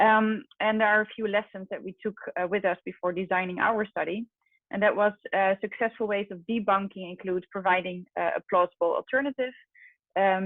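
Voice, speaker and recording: A woman's voice: mid-range voice, no room sound. A woman researcher presenting a study in an explanatory academic lecture, recorded through an online call. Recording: narrow phone-like online call audio.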